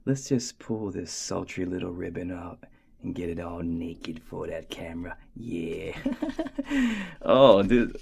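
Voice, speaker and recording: A man doing a goofy, excitable watch strap review at his desk, talking to himself and dropping into whispers. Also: mid-range voice, gravelly. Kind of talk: casual unboxing review. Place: indoors at a desk.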